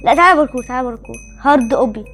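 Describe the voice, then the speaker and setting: A child with an Egyptian accent chatting excitedly over a video game, exclaiming and pleading in repeated phrases. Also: sits high, very fast, a little hiss or hum. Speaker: gaming video co-host. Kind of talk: excited casual chat while playing a video game.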